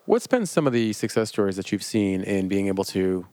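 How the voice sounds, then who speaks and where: A man interviewing a chef, asking measured questions and giving short affirmations. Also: fairly low pitch, big pitch swings, mellow, no echo.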